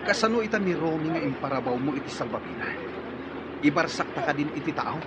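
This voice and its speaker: Man acting tense, high-voiced boatman. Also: animated, fast talker, natural voice. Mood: grim. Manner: curt, commanding. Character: tense, impatient man running a stricken ferry. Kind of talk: Ilocano radio drama acting. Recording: muffled, noisy scene with outdoor clamour.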